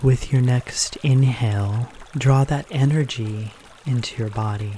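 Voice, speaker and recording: A man leading a guided meditation in a gentle, unhurried manner, leaving pauses between short phrases. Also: medium pitch, a little hiss or hum.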